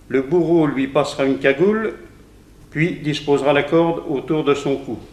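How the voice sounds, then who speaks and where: Actor as a stern warden in an echoey hall. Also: male voice, medium pitch, slight background noise, close to an everyday voice. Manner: solemn, commanding, formal. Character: stern, authoritative male prison warden presiding over execution. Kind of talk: 1960s French radio drama acting. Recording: echoey hall, old radio production.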